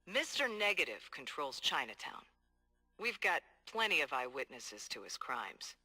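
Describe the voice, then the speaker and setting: An actor playing a determined, hard-boiled police detective dictating earnest, streetwise case logs in a video game. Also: male voice, fairly high pitch, very animated, unhurried, sharp tone, slightly rough, soft background music, exaggerated voice. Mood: analytical, concerned. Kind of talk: video game audio log monologue.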